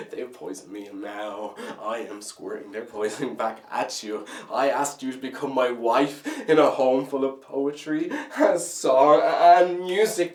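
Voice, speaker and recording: A man playing a bitter, anguished, disillusioned young man in a halting theatrical monologue, moving between brooding and outbursts. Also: medium pitch, lightly acted. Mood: anguished, accusing. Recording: echoey room, distant microphone.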